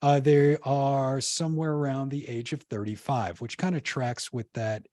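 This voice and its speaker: A man's voice: medium pitch, fairly even intonation, normal speed, a little mellow, no echo. A man, a podcast guest, telling history in a casual, rambling way full of fillers and restarts. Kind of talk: casual conversational storytelling.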